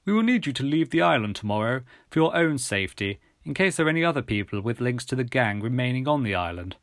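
A man voicing a formal, authoritative English gentleman, an official, in a measured manner for an audiobook story. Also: medium pitch, sing-song, no room sound, clean studio sound. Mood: businesslike.

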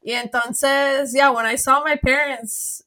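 A woman's voice: sits high, fairly even intonation, a little slow, bright, forceful tone. A woman from the United States hosting a podcast, chatting casually and switching between Spanish and English. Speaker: podcast host. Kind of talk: casual interview chat.

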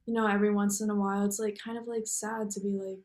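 A woman's voice: mid-range voice, little pitch movement, fast talker, a little mellow. A young American woman talking in a casual interview, rambling and filling her speech with "like" and "you know.". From United States. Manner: rambling, heavy use of "like". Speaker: guest.

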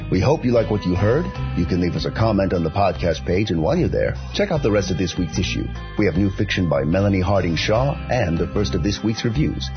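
A man's voice: deep voice, expressive, a little fast, hardly any pauses. A man hosting a podcast, reading a scripted introduction and sign-off. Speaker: podcast host. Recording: dull lo-fi audio with music. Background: music.

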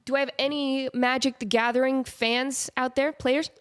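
This is a woman with a congested, nasal voice that sits a touch above the usual pitch. She is in her thirties and hosts a casual podcast. She tells stories with lots of energy, laughing and slipping into quoted voices as she goes. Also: normal speed. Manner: animated, laughs often, acts out quotes. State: stuffed-up nose. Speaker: podcast host. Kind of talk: casual chat.

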